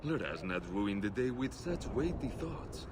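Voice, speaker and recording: An actor playing a smooth-talking, vain merchant in a video game, oily and full of flattery. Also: male voice, medium pitch, a little slow. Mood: coy, evasive. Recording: noisy game capture with ambience.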